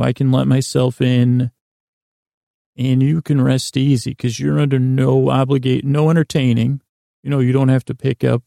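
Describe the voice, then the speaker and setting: A man with a creaky, gravelly voice hosting a bedtime podcast, rambling in a halting, self-deprecating, digressive way. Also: mid-range voice, dark tone, no echo, studio quality. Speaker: podcast host. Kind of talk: rambling bedtime-story podcast intro monologue.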